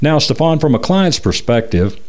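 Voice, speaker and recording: A man's voice: fairly high pitch, sing-song, darkish tone. A man with an American accent hosting a radio show, talking conversationally as he interviews and promotes. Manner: repeats phone numbers slowly and deliberately.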